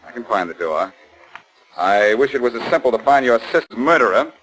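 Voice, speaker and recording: An actor playing a brash, confident amateur sleuth in 1940s radio style, blunt and insistent. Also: male voice, mid-range voice, big pitch swings, fairly quick, close to an everyday voice, low-quality recording. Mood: accusing. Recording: 1940s radio broadcast recording.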